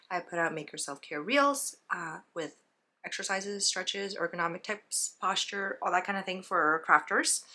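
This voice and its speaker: A woman hosts a casual knitting vlog from home, her voice still hoarse as it recovers. She sits slightly low in pitch with a bright, forward tone. She chats loosely and stops often to clear her throat. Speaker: knitting video host.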